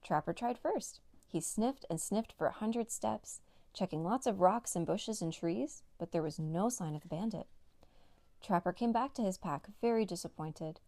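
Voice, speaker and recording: A woman's voice: mid-range voice, lively intonation, normal speed, warm tone, dry recording. A woman narrating a children's read-along story as a storyteller.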